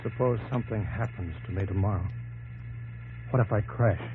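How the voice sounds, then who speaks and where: A man's voice: fairly low pitch, normal speed, over music, close to an everyday voice. An American actor playing an earnest, thoughtful young male aviation pioneer in 1950s radio drama style. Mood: uneasy, reflective. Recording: muffled, noisy old radio broadcast recording.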